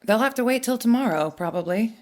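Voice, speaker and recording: A woman's voice: fairly high pitch, animated, brisk, close to an everyday voice. An actress playing a practical, kindly guesthouse keeper and mother in an audio drama, with matter-of-fact, obliging, naturalistic delivery. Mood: businesslike. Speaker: guesthouse landlady.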